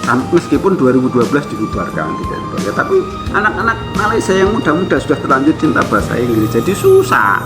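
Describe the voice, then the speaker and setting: A man's voice: mid-range voice, big pitch swings, fast. An Indonesian man giving an informal opinion monologue to viewers, conversational, repeating phrases for emphasis and asking rhetorical questions. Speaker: YouTube commentator, host. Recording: loud tinkling mallet music under voice. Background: loud glockenspiel and marimba music.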